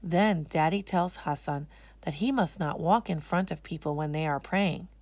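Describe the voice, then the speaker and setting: A woman's voice: sits low, moderate pace, a little mellow, dry recording. A woman narrating a children's storybook as a read-aloud.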